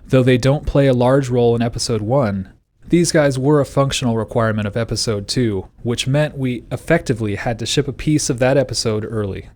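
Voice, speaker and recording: A man's voice: medium pitch, fairly quick, a little mellow. A man with a mid-range voice reads prepared commentary. He explains design choices as a game developer.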